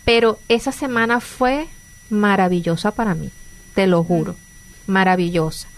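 A woman's voice: mid-range voice, moderate pace. A woman with a Venezuelan accent hosting a radio conversation. She talks in an earnest, chatty way and restarts her phrases often. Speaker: radio host. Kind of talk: conversational interview.

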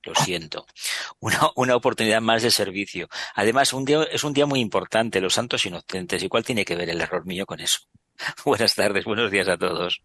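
Bright-voiced man from greeting listeners. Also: fairly low pitch, a little fast. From Spain. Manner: good-humored, laughing at his own slip. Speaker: radio panelist.

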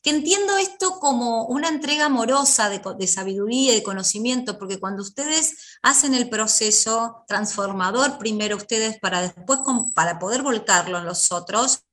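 Woman, interviewer, slightly high voice, long reflective remarks. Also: expressive, normal speed, few pauses, fairly bright tone. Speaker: interviewer host. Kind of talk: interview commentary.

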